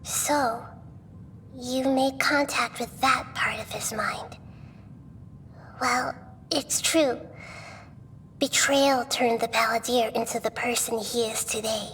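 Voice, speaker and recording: A woman voicing a gentle, wise young-girl goddess who speaks softly and patiently, in a video game scene. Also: on the high side, steady tone, a little hiss or hum. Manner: soft, patient, measured. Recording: game music under voice. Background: game background music.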